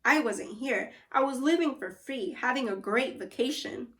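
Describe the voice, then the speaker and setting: A woman's voice: high-pitched, normal speed, a bit bright. Woman reading as a brusque, folksy barbecue cook. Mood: gruffly casual. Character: brusque, wisecracking man who runs a barbecue cafe.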